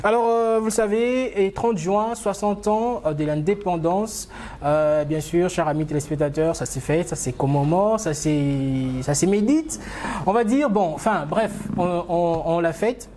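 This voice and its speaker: Animateur télé congolais, voix sombre et hésitante. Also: male voice, high-pitched, unhurried, dark tone, a little hiss or hum. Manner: rambling, many fillers and restarts. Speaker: TV show host. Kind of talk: casual TV interview.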